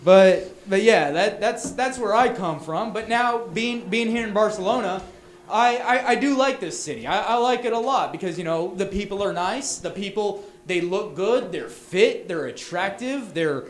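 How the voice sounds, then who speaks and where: A man from Texas with a Texan accent, doing a stand-up set in a small bar, loud and punchy with stumbling restarts. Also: high voice, animated, normal speed. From Texas, USA. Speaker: stand-up comic. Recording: echoey bar room.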